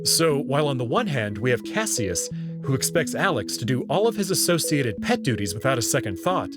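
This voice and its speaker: Expressive man narrating over music. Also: mid-range voice. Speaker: narrator and host of a visual novel reading. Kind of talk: scripted narration and commentary.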